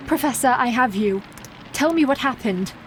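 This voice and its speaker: A proper young heroine, speaks in a fairly high voice with firm, formal resolve. It is radio play acting over faint noise. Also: female voice, lively intonation, normal speed, low-quality recording. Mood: concerned. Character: proper, resolute young English wife defying vampires.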